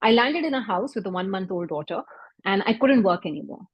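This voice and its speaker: A Pakistani-accented woman speaks in a slightly breathy, husky voice, earnest and measured as she addresses an event audience. Her first language is Urdu, and she now and then restarts a phrase. The sound is close and dry, with no room echo. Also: mid-range voice, expressive, highs cut off. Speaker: doctor and health startup founder. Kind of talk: event talk.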